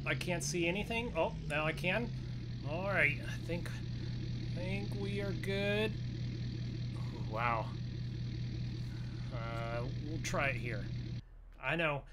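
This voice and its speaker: Man, unhurried, folksy, casual game roleplay commentary, thinking aloud, loud truck engine noise behind. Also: fairly high pitch. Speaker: gaming video host. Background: game truck engine sounds.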